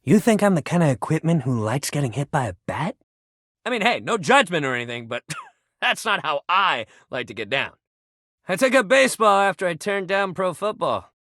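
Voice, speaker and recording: A man's voice: a little high, very animated, normal speed, sharp tone, dry recording, clean studio sound, heavily acted. A man voicing a video game character, a living shuttlecock who is an upbeat, laid-back young sports coach with slangy, encouraging, bro-ish talk. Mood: casual, nostalgic.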